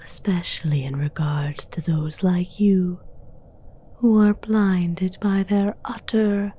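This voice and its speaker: A woman's voice: lowish voice, steady tone, warm tone. A woman playing a menacing, mocking demoness queen in a whispered, condescending ASMR roleplay. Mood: amused, condescending. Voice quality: breathy whisper. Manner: slow, deliberate, condescending.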